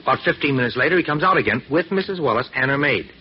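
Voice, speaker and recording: An actor playing a breezy, wisecracking sidekick in an old radio drama, casual and slangy. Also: male voice, mid-range voice, animated, very fast, slightly rough. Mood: brisk, informal. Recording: muffled, hissy vintage radio recording.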